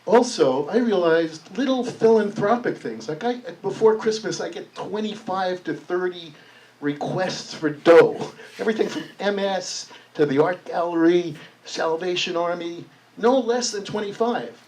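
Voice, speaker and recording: A man's voice: sits high, unhurried, darkish tone, slight background noise. A man in the audience thinking aloud in a rambling, down-to-earth way. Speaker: audience member. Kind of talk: spoken comment in a public discussion. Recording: distant room mic.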